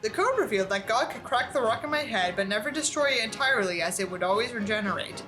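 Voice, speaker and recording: A woman reading a book aloud in an expressive, exasperated way, with sarcastic asides. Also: a little high, fast, music in the background, rough recording.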